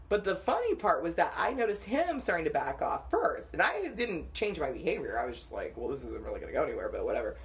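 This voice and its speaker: A young woman co-hosting a podcast, telling dating stories in a casual, animated way and laughing often. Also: fairly low pitch. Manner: animated storytelling with frequent laughter. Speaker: podcast co-host. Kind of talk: casual chat.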